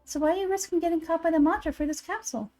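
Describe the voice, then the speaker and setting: A woman's voice: extremely high pitch, rapid-fire, dark tone, voice pushed up. A woman voicing a small, childlike fairy companion from a video game, chirpy, curious and cheekily blunt. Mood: cheeky, curious. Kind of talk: game dialogue read aloud.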